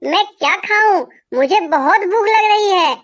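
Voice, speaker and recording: A woman voicing a young orphaned female bird in a cartoon, with a high childlike voice and plaintive, pleading delivery. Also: animated, a little slow. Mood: despairing. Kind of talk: Hindi cartoon dubbing.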